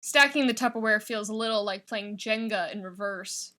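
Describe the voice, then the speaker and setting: A woman reading a piece of fiction aloud as an expressive scripted narration. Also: medium pitch, normal speed. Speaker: fan fiction reader.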